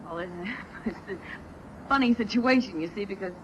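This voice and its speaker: An actress playing a wary, slangy young vaudeville performer in an old-time radio drama, halting when flustered. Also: female voice, medium pitch, a bit bright, lightly acted. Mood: guarded, awkward. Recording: muffled, noisy vintage radio broadcast.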